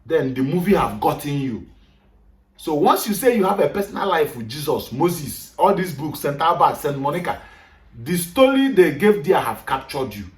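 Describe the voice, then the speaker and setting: A man's voice: a little high, big pitch swings, normal speed. A man with a Nigerian Igbo accent giving an emphatic, lecturing talk to a group and switching between English and Igbo. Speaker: speaker on culture. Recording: echoey room, voice from far off.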